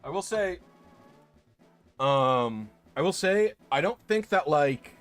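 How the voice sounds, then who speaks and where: A man's voice: on the high side, animated, slow pace. Young guy rambling on stream, lots of um and like, faint music behind. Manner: rambling, many restarts and fillers. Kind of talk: casual chat.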